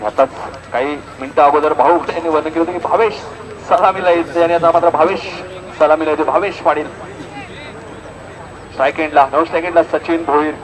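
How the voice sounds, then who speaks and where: A man's voice: fairly high pitch, steady tone, fairly quick, pauses often, a crowd in the background. A man with a Maharashtrian accent giving live ball-by-ball cricket commentary from an outdoor ground. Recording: noisy outdoor sports ground recording.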